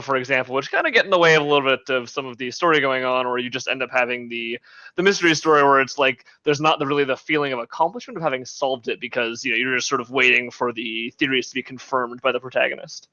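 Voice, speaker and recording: A fast-talking man with a bright, pressing voice hosts a casual podcast. The sound is very dry and slightly dull, like a voice chat. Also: mid-range voice, fairly even intonation, no echo. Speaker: podcast host.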